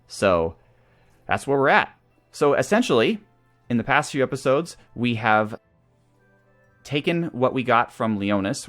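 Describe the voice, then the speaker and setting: Low-voiced man commentating a strategy game. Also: a bit bright. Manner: talkative, thinking aloud. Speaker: gaming channel host. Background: video game music.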